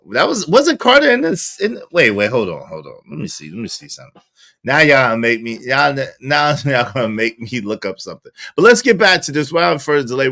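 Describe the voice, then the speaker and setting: Bright-voiced slangy man in his forties. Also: mid-range voice, vocal fry. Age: 40s. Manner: slangy, repetitive, reads viewer comments aloud. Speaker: live-stream host. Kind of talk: casual live-stream commentary reading chat.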